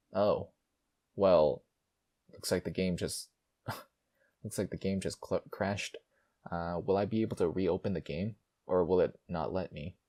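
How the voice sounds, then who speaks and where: A man's voice: low voice, vocal fry, warm tone. A man hosting a gaming video, giving casual, informal playthrough commentary and reading aloud. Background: music.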